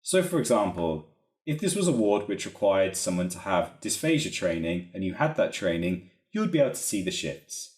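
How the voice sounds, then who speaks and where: Low, lively man in an echoey room. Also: normal speed. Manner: methodical, explanatory. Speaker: software trainer. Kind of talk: instructional screen-recording narration. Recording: roomy, echoey voice recording.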